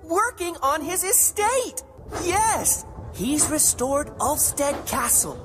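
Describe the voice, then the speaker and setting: A woman voicing a chatty female engine from a cartoon, breathy. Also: high voice, very animated, takes it slowly, music in the background, full character voice.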